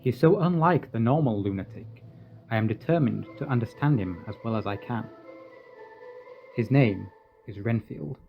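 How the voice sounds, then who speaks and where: An actor playing a grave, lovesick, studious asylum doctor in a radio drama monologue. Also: male voice, mid-range voice, animated, moderate pace, vocal fry, faint music behind. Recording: dull sound with faint noise.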